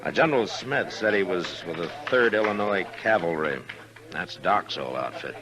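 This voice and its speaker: An actor playing a laconic American frontier marshal in a 1950s radio western, with a measured, understated but firm manner. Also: male voice, sits low, lively intonation. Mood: uneasy, thoughtful. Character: stern, laconic frontier town marshal, grown man. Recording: old radio recording, music behind.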